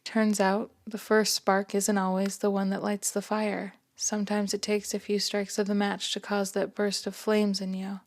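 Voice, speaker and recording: A woman reading her own first-person story aloud in a gentle, soft-spoken way. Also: mid-range voice, completely flat delivery, moderate pace, few pauses. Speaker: author and narrator.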